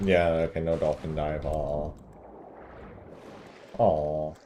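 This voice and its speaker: A man's voice: a bass voice, level tone, slow, mellow, a little hiss or hum. A man giving casual commentary while he plays through a video game. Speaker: gaming streamer. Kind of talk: casual game playthrough commentary, reading dialogue aloud.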